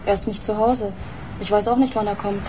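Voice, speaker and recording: An actress playing a blunt, wary fiancée in a radio crime drama, speaking in a curt, challenging manner. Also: female voice, medium pitch, fairly even intonation, brisk, background noise, natural voice. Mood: curt, indignant.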